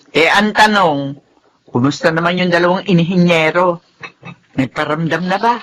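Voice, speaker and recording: A woman's voice: lowish voice, unhurried, a bit bright. A woman playing an opinionated, motherly Filipina aunt in a radio drama, chatty and full of scolding exclamations. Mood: exasperated.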